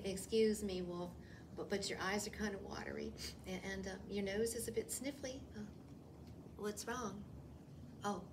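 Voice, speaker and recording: A woman's voice: on the high side, a bit bright. A woman voicing a nervous, fibbing little rabbit in a children's picture book, stammering and insisting with flustered emphasis. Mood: hesitant, softening. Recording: distant mic, faint room noise.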